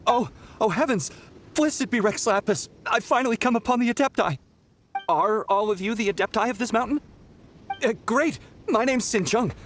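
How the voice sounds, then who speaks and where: An actor playing a whiny, greedy and impatient young pilgrim in a video game, theatrical and petulant. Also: male voice, sits high, moderate pace, fairly bright tone, slight background noise. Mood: awed, pleading. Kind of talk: anime-style video game acting.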